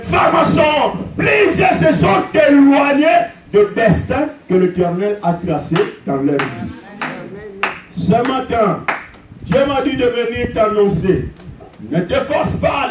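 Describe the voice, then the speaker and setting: A man delivers an impassioned sermon, his voice sitting extremely high for a man. He shouts and hammers phrases over and over. The sound is echoey and distant, with noise underneath. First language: Portuguese. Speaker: pastor. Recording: echoey room, distant mic, background noise.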